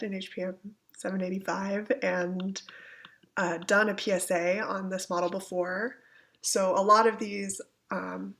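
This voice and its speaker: A woman with a gravelly voice, getting over a cold, gives an informal step-by-step software tutorial, somewhat hesitant as she thinks aloud. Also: lowish voice. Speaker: instructor giving a software tutorial. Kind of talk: informal step-by-step screencast walkthrough. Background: computer keyboard typing.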